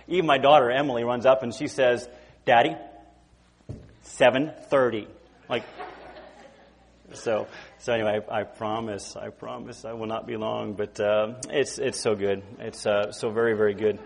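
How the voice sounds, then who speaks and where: A man in his forties from California, preaching a sermon in a casual, halting way with many repeated words and fillers. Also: medium pitch, fairly even intonation, gravelly, in a large hall, a little hiss or hum. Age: 40s. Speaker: guest preacher. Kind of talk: informal sermon. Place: church sanctuary.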